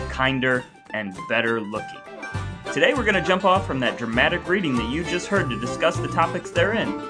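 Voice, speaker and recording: A man hosting a podcast in a playfully mock-grand, wordy way, with casual comic banter and some read-out passages. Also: medium pitch. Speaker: podcast host. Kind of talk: casual comic banter, partly dramatic reading of texts.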